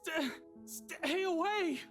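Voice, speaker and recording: An actor playing a haughty, irritable rich master of a mansion in a dramatic game, sneering and theatrical. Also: male voice, extremely high pitch, very slow, exaggerated voice. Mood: frightened. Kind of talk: dramatic visual novel voice acting. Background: game music.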